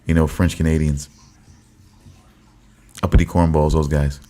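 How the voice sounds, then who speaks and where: A man's voice: a bass voice, level tone, measured pace, dark tone. A man co-hosting a podcast in casual chat, teasing and repeating himself. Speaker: podcast co-host.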